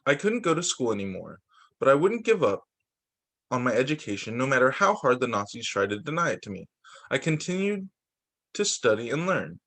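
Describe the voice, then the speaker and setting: A man's voice: mid-range voice, big pitch swings, brisk, a bit bright. A man reading a children's book aloud as a teacher, occasionally stumbling, repeating phrases and adding casual asides. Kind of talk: children's story time read-aloud.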